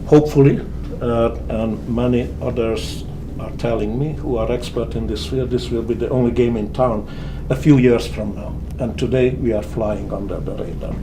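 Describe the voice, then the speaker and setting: Man explaining haltingly. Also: medium pitch. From Hungary. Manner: halting, explains step by step with many fillers. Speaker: inventor guest. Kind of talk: casual interview answers. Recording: steady background noise.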